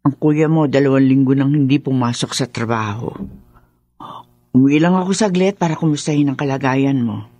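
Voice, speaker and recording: A woman's voice: extremely low pitch, brisk, mellow, dry recording. An actress playing an anxious, caring middle-aged mother, weary and fretful, in a Tagalog radio drama. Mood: concerned. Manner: solicitous, fretful.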